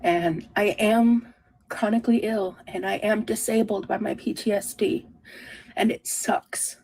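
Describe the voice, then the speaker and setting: A woman vlogger talking confessionally about herself in a halting, introspective way. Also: mid-range voice, steady tone, darkish tone, no echo, rough recording. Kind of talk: confessional personal talk.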